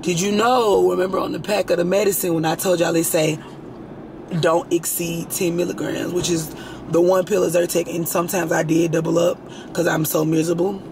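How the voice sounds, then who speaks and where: A hoarse young woman with a raspy voice, chatting frankly and rambling to her vlog audience. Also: on the low side, little pitch movement, fairly quick, noisy background. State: hoarse, itchy throat. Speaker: lifestyle vlogger. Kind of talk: casual chat to camera.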